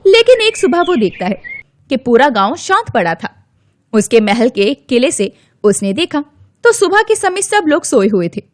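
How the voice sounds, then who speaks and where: A woman storyteller reads a scripted children's tale. Her voice is high-pitched and swoops expressively, with a dry studio sound. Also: sing-song, moderate pace, no echo.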